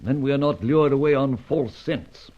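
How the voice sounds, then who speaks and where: Man playing pompous British spy chief, husky voice. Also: mid-range voice, lightly acted. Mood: suspicious, firm. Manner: pompous, deliberate, formal. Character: stuffy, suspicious older British spy chief, a knighted gentleman. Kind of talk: old-time radio drama acting. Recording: dull lo-fi old radio recording.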